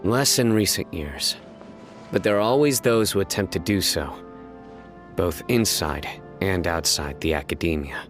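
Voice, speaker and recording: A man voicing a stern, solemn investigator in a video game, speaking in a measured, grave and formal way. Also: low voice, over music. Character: stern, solemn young male investigator of few frills.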